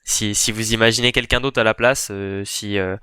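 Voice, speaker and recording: A man's voice: on the low side, steady tone, moderate pace, pushed and bright, smooth, no room sound, clean studio sound. A man co-hosting a casual sports chat, thinking aloud with many fillers and restarts. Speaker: podcast co-host.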